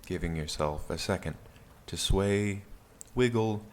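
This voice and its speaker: A man leading a guided meditation in a calm, soothing, gentle manner with long pauses. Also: low-pitched, slight background noise. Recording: steady rain sound behind the voice. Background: rain backtrack.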